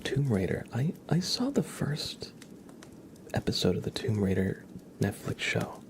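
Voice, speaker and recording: Low, creaky, half-whispering ASMR man. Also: wildly swooping pitch, unhurried. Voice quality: soft, breathy half-whisper. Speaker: livestream host reading chat. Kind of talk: ASMR livestream, casual rambling chat.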